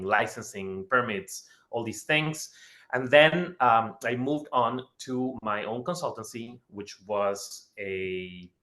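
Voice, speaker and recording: A man's voice: medium pitch, fairly bright tone. Enthusiastic man from Ecuador presenting. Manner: enthusiastic, repeats words for emphasis. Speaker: guest speaker. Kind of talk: webinar presentation. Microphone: video call.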